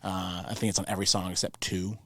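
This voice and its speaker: A man's voice: sits low, flat delivery, fast, vocal fry, pushed and bright. A man from New Orleans chatting casually as an interview guest, a recording engineer who rambles with enthusiasm and swears freely. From New Orleans, Louisiana.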